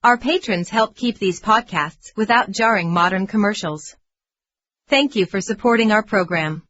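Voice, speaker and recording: A woman's voice: mid-range voice, normal speed, fairly bright tone, dry recording. A woman reading a scripted podcast announcement. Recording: dry, slightly dull sound.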